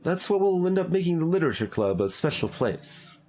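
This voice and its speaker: A man's voice: a little high, very animated, fairly quick, a little mellow. A man voicing an earnest, upbeat teenage girl who leads a school club in a visual novel, speaking sincerely over music. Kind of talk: voiced visual novel reading. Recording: music playing behind the voice.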